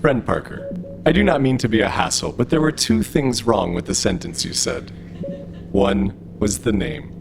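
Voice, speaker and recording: A man's voice: fairly low pitch, expressive, moderate pace, over music. A man playing an overly friendly, literal-minded robot in an audio drama, speaking in a stilted, formal, earnest way. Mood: matter-of-fact. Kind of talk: scripted audio drama acting. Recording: noisy, with clear background ambience.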